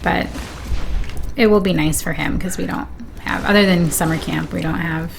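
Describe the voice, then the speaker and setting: Middle-aged woman, American accent, lowish pitch, vocal fry, chatty storytelling, casual stream conversation. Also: steady tone, moderate pace, slight background noise. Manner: chatty, laughs easily, quotes people animatedly. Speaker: guest on a sibling's game stream. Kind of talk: casual chat, telling family anecdotes.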